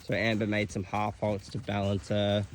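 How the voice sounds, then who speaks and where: A man's voice: lowish voice, moderate pace, a little hiss or hum. A man filming and casually commenting on a horse training session, with lots of fillers. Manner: relaxed, filler-heavy explaining.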